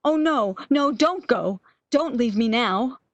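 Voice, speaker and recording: A woman's voice: a little high, expressive, slow pace, dry recording, dull audio. A woman playing a frail, fearful, lovesick woman, pleading emotionally in an amateur radio drama.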